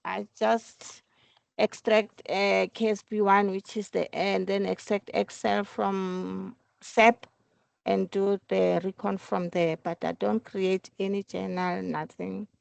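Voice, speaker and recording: A woman explaining work procedures in a meeting, halting at times and repeating her phrases. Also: medium pitch, little pitch movement, creaky, darkish tone, dry recording. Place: recorded online work meeting.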